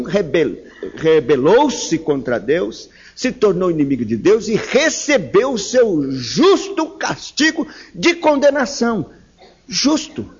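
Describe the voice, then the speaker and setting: A man from São preaches slowly and deliberately, his husky voice swinging widely in pitch as he presses each point. He delivers an emphatic sermon with fervent rises on key words. The sound is dull and lo-fi. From São Paulo, Brazil. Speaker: pastor. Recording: dull lo-fi recording.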